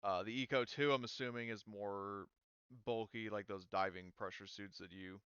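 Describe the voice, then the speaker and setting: A man's voice: lowish voice, steady tone, normal speed, a bit bright, clean tone, no echo, highs cut off. A man casually running a tabletop game as game master, patiently explaining steps to players.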